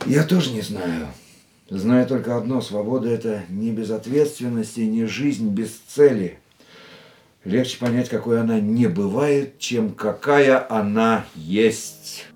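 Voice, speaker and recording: A man's voice: on the low side, a little slow, a little mellow, lightly acted. An actor playing a reserved, philosophical man in a radio drama, speaking in a restrained, reflective way. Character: worldly male lover, reserved and philosophical. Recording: roomy radio play with music.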